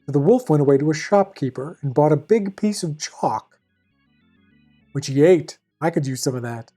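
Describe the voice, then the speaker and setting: A woman hosting and narrating a storybook tale in a formal, deadpan manner with dry asides. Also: low voice, moderate pace, soft background music. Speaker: fairy tale reader and host.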